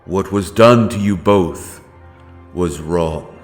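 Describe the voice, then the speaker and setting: A grim, righteous holy wanderer from a dark fantasy tale, acted by a man, speaks in a deep voice. The delivery is slow, solemn and archaic, with deliberate dramatic weight. Faint music plays behind the words. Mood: commanding, vengeful. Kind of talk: dark fantasy audio roleplay.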